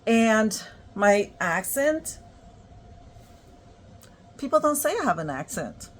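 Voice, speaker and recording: A woman from Puerto Rico with a New York accent, giving a slow, encouraging motivational self-help talk full of affirmations. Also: on the high side, big pitch swings. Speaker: motivational creator.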